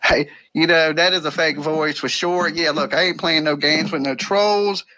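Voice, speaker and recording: A man's voice: fairly high pitch, fairly even intonation, normal speed, pushed and bright, no room sound. A man hosting a live call-in stream with blunt, confrontational, colloquial talk. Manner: blunt, colloquial, streetwise phrasing. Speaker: live-stream host taking calls.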